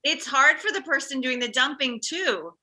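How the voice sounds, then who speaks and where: A woman's voice: high voice, expressive, normal speed, bright, forceful tone, exaggerated voice. A woman playing a self-absorbed but well-meaning mother of forty-five in a comedy table read, emphatic and fussing. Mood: concerned.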